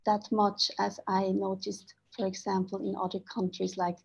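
Woman, from Switzerland, husky, flat delivery, earnest and measured, video call, dull audio. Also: mid-range voice. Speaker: panelist. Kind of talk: panel discussion remarks.

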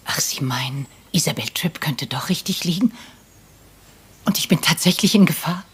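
A woman's voice: deep voice, moderate pace, husky. An actress playing a frail, wealthy old lady in a crime radio play, wry and theatrical, moving between weary and pointed. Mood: anxious.

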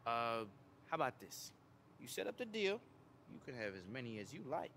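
An actor playing a confident, friendly young American basketball star in a video game, talking in slangy buddy style. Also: male voice, medium pitch, very animated, normal speed, a bit bright, slight background noise. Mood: laid-back. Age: young adult. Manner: slangy, laid-back buddy talk. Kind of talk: video game cutscene acting.

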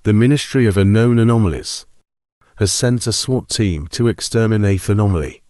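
Low, dark-voiced male narrator. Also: moderate pace, no room sound, studio quality. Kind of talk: scripted analog horror narration.

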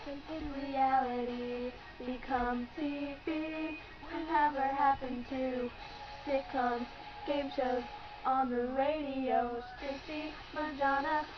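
A young woman singing along to pop-rock in an amateur, playful way at home. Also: sits high. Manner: playful, giggly. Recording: dull lo-fi home recording with loud music. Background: pop-rock song playing.

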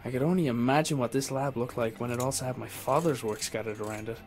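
A woman's voice: extremely low pitch, fast talker, darkish tone. A woman voicing a plucky young adventurer in a game, narrating her own mishaps with dry, self-mocking wit. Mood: wry. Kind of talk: wry storybook game narration. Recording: game audio with clear music.

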